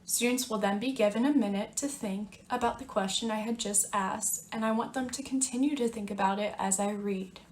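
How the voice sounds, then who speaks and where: A woman's voice: medium pitch, a little fast, hardly any pauses, reverberant, a little hiss or hum. A woman teacher leading a picture-book read-aloud, speaking simply and instructively and prompting children with questions. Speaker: teacher reading aloud to students.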